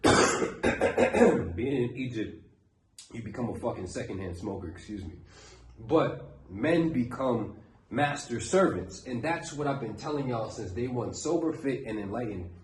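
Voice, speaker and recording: A man's voice: mid-range voice, animated, unhurried. Profane, preachy New man, roomy sound. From Brooklyn, New York. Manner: blunt, profane and preachy, circling back to his point. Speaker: self-improvement coach. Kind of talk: casual motivational monologue. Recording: roomy echo, faint background noise.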